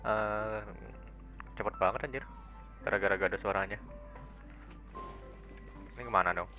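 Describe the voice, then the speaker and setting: A man's voice: lowish voice, fairly even intonation, moderate pace. A man streaming a video game, giving casual, rambling commentary with hesitant restarts. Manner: rambling, hesitant, trailing off with soft hums. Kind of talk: casual let's-play commentary. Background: faint game music.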